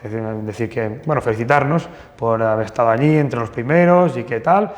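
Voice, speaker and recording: A man's voice: medium pitch, fairly quick. A man from northern Portugal, a plastic surgeon telling anecdotes in an interview, hesitant with many restarts and fillers. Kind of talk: casual interview storytelling. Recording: long hall reverb.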